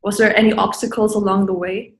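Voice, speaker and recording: Interviewer, woman, mid pitch, direct questioning, roomy echo on her voice. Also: flat delivery, a little fast, a little hiss or hum. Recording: echoey room.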